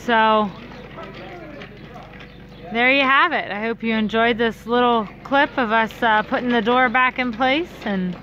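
A woman's voice: on the high side, unhurried, pauses often. A woman chatting casually to viewers as a farm vlogger, recorded outdoors on a farm with a phone. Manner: relaxed, chatty, addresses viewers directly. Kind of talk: casual vlog narration. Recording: lo-fi outdoor phone audio with loud background noise.

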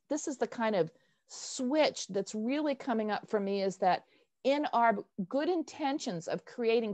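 An older woman facilitating an informal online workshop, speaking in an animated, emphatic, self-deprecating way on a video call headset. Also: a little high. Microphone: wired earphone headset.

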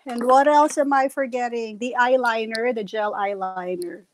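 A middle-aged woman with a Filipino accent and a slightly raspy voice, going through makeup products one by one on a video call. Her voice sits a little high for a woman and comes through earphones. Faint noise hums under it. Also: fairly even intonation, moderate pace. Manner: shows products one by one to camera. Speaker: guest makeup presenter. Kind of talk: casual live video call chat. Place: home room with shelves. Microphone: earphone headset on video call.

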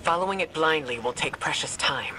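An actor playing a resolute field commander in a video game, urgent and earnest. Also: male voice, a little high, lively intonation, brisk, background noise, natural voice. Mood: determined. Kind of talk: video game mission dialogue.

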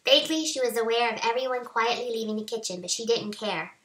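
A woman reads romance fiction aloud with a helium-affected voice. It is highish and sharply bright, with the roomy echo of a home recording. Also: fast talker. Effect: voice raised by helium. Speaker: author reading own novel. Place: small home office, indoors. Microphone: webcam at arm's length.

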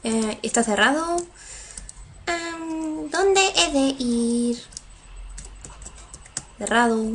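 A woman's voice: on the high side, slight background noise. Chatty young woman gamer. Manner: chatty, translating on the fly with many fillers. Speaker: gameplay streamer. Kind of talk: playing and reading game dialogue aloud. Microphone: headset.

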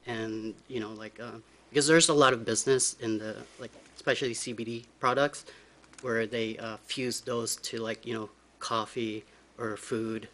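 A nervous young man giving informal, halting public comment at a hearing. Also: mid-range voice, fairly bright tone. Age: young adult. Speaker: business applicant speaking at a public hearing. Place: large council chamber, indoors. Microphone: podium microphone.

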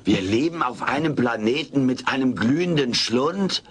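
A man voicing a cheeky, gluttonous little furry alien with deadpan wisecracks in a German sitcom audio play. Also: mid-range voice, moderate pace, a crowd in the background, natural voice. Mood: anxious. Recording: faint hiss, old cassette audio.

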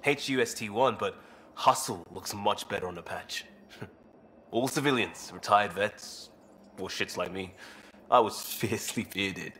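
An actor playing an easygoing young male crewman, a former cop, relaxed and joking. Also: lowish voice, unhurried, slight background noise.

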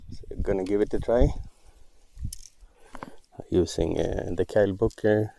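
A man from Sweden, a native Swedish speaker, chatting casually and haltingly as he vlogs a fishing and camping trip. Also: fairly low pitch, fairly even intonation, vocal fry, warm tone. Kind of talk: casual chat to camera while fishing. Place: outdoors by lakes and a forest campsite. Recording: outdoor noise on camera mic.

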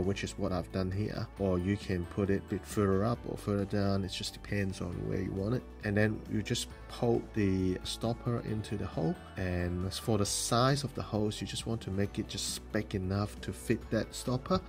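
A man hosting a model-building show, explaining the steps plainly, with pauses and ums. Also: lowish voice. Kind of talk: hobby tutorial voice-over.

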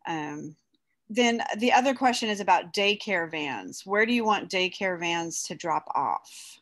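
A woman's voice: fairly low pitch, expressive, unhurried, fairly bright tone. Woman moderator on a video call, reads questions plainly with short acknowledgements. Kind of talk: reading submitted questions aloud.

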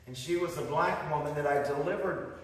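A middle-aged pastor from the northern United States delivers a fervent sermon in a fairly high voice, building emphasis and slipping into stories. He sounds distant from the mic, and his words ring through a long, echoing church hall. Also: fairly quick. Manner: fervent, rising emphasis with storytelling asides. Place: church sanctuary, indoors. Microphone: far camera, speaking at a lectern. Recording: echoey hall recorded from far off.

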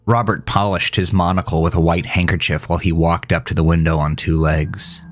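Deep-voiced man narrating horror. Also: steady tone, brisk, slight background noise. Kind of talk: scripted horror story narration.

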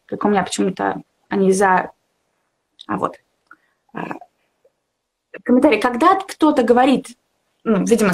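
A young woman chatting casually as a language teacher in a live discussion, encouraging and reflective, often searching for words. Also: a little high. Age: young adult. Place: indoor room at home, video call.

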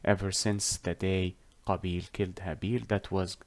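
Slow, deliberate man, native Arabic speaker. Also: low voice, little pitch movement, darkish tone. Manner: deliberate, halting, with frequent pauses and self-corrections. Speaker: religious storyteller teaching on a whiteboard. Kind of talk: explanatory storytelling lesson.

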